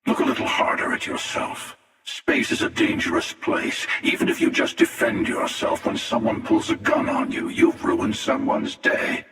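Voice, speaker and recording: A man playing a world-weary, menacing older immortal hunter in a video game, speaking slowly and sardonically in a gravelly, breathy rasp with a reverberant effect. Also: pushed and bright. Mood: measured menace. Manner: slow, deliberate, sardonic, taunting. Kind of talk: video game character dialogue. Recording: echoey voice with long reverb, distant.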